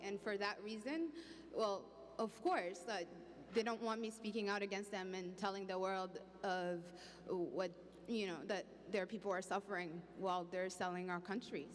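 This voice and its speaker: A young woman from Congo speaking earnestly as a panelist on stage, holding a handheld mic in a large hall. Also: mid-range voice. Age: young adult. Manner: earnest, outspoken, with restarts. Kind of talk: panel discussion. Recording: hall reverb, faint background noise.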